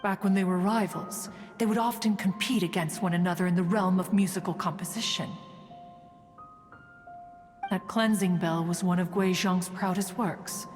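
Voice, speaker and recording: A woman's voice: fairly low pitch, fairly even intonation, moderate pace, lightly acted. A woman voicing an ancient, proud, austere immortal in a video game, speaking in a formal, pompous manner. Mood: proud, matter-of-fact. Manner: formal, pompous, calls herself "one". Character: ancient, proud, haughty female immortal adeptus, austere, learned. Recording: long hall reverb over music.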